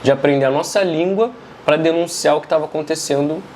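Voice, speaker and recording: A Brazilian man explaining the meaning of samba lyrics in a measured way, often tagging "né?". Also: fairly high pitch, a crowd in the background. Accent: Brazilian Portuguese. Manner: measured, explanatory, many "né?" tags.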